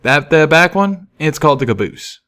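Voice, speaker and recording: A man voicing an affable rustic train-worker pony who explains things in a simple, folksy, drawn-out way for an audiobook. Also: a little high, lively intonation, a bit bright, voice pushed up. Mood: happy explaining. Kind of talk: audiobook character voice.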